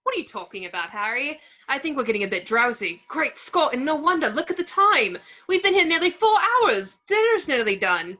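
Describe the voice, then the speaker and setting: A woman's voice: high voice, sing-song, fairly quick, few pauses, pushed and bright, muffled recording, full character voice. A woman playing a cheery, vain male wizard professor in an amateur table read, breezy and self-admiring. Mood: breezy, puzzled.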